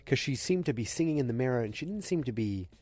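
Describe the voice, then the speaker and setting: A man's voice: mid-range voice, lively intonation, fast talker, a little mellow. A man hosting a solo podcast with a cold, recapping casually in a loose, rambling way with many fillers and restarts. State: has a cold, stuffy. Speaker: podcast host.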